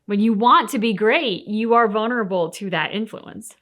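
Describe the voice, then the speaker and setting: A woman's voice: medium pitch, normal speed, fairly bright tone. A woman co-hosting a game reaction show, talking casually and reflectively in an earnest, explanatory way.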